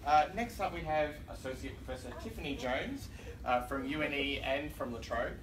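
A man's voice: medium pitch, expressive, moderate pace, crowd noise. Australian man chairing a panel. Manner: hesitant, with fillers. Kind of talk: introducing speakers. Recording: distant, echoey, noisy room.

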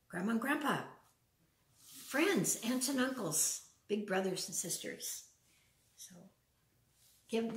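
An older woman reading a picture book aloud to young children, pausing for simple questions and chatty asides in a living room. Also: medium pitch. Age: older, around 70s. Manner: simple, child-directed talk with frequent questions. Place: large open living room with wood floor, indoors. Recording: roomy echo, distant from the mic.

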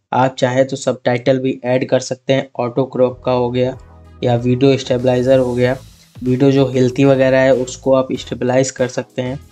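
A man's voice: medium pitch, darkish tone. Man presenting a tech tutorial, faint music. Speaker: tech channel host. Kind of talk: software tutorial narration. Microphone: lapel mic. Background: faint background music.

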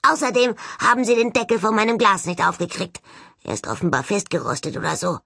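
A woman's voice: medium pitch, very animated, fairly quick, vocal fry, fairly bright tone, no room sound, voice pushed up, exaggerated voice. A grumbling skull ghost from an audiobook, acted out by a woman, male, sardonic and easily offended. The delivery is sullen and mocking, with a slightly muffled edge and a close, dry sound. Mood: indignant. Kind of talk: audiobook character voice.

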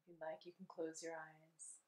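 Low, even-toned woman guiding meditation. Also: low-quality recording. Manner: soothing, unhurried, gently instructive. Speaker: meditation guide. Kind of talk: guided meditation.

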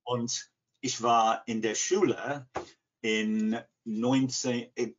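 Older man, English accent, non-native speaker, very slow halting delivery, dull video-call sound. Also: mid-range voice, fairly even intonation, slight background noise. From England, near Liverpool. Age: 60s. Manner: halting, searching for words. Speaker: interview guest, language learner. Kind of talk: conversational interview answers. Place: living room at home. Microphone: computer mic on video call.

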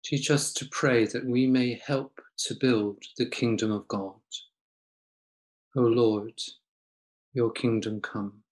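Older male prayer leader, unhurried read intercessions, medium pitch, recorded at home. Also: steady tone, darkish tone. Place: small room at home with bookshelves.

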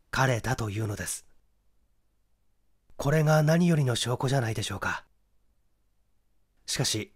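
A man voicing a methodical, assured young amateur detective in an audiobook, with deliberate, emphatic explanation. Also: on the high side.

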